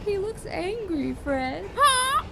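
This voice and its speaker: Nervous mother swan, squeaky extremely high voice, halting, shaken, cartoon audio drama, background noise. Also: sing-song, gravelly, exaggerated voice. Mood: timid. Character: nervous, traumatised lady swan, fretful mother.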